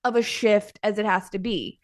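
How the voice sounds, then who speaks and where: A woman's voice: medium pitch, steady tone. A woman speaking as a podcast guest, a mother talking about faith in a candid, emphatic way. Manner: emphatic, candid, quick to exclaim. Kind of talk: casual faith conversation.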